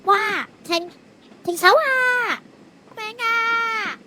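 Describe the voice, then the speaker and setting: A woman's voice: extremely high pitch, drawn-out words, noisy background, voice pushed up, heavily acted. A woman with a Hong Kong Cantonese accent voicing a boastful bacterial monster, a manga villain who panics easily. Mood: panicked.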